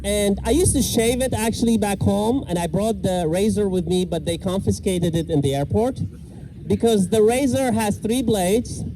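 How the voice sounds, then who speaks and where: Man, middle-aged, from Iraq, stand-up comic, fairly high voice, deadpan punchlines, park stage, traffic noise behind. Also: normal speed. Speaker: stand-up comedian. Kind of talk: stand-up comedy set. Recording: outdoor noise and passing traffic. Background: park ambience and vehicles.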